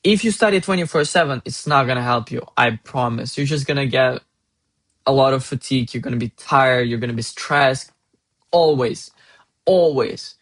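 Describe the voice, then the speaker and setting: Young man, Russian accent, non-native speaker, earnest, hesitant, mid-pitched voice. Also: normal speed, fairly bright tone. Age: young adult. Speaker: IB student. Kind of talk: casual advice monologue.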